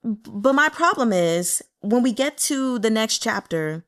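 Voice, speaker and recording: A woman's voice: on the high side, lively intonation, a little slow. A woman chatting casually and candidly about a novel as a book reviewer, in a conversational, mildly exasperated way. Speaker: book reader and reviewer with an audience. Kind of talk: casual chat commentary on a novel.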